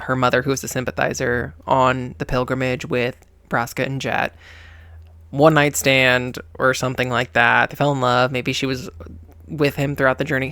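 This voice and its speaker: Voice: medium pitch, gravelly, fairly bright tone, no room sound. A reaction host giving casual, chatty and playful commentary on a game story, with slangy phrasing.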